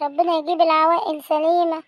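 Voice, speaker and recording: A woman's voice: very high-pitched, level tone, fast, lo-fi sound. A young woman with an Egyptian accent voicing a lonely, frail old grandmother who pleads mournfully in an amateur skit. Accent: Egyptian Arabic. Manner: mournful, pleading, calls everyone "my dear".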